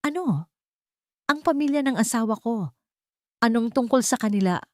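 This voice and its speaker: A woman's voice: a little high, lively intonation, normal speed, no room sound, voice pushed up, lightly acted. A woman with a Filipino accent voicing an anxious, frightened wife with a trembling, urgent delivery in a narrated story. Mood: alarmed.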